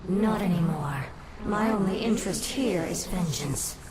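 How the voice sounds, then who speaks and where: Game villainess role: imperious banshee queen, controlled, vengeful, somewhat low voice. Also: animated, unhurried, over a noisy crowd. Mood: cold persuasion. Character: vengeful undead elf queen, female, cold, cunning, commanding. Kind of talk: video game cutscene acting. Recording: game audio under loud music.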